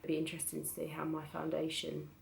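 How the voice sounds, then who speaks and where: Low, flat-voiced British woman chatting. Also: fast talker, gravelly, slight background noise, poor audio. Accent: British English. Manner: chatty, hesitant with restarts and "sort of". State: croaky from illness. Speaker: beauty vlogger. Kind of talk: casual product haul chat.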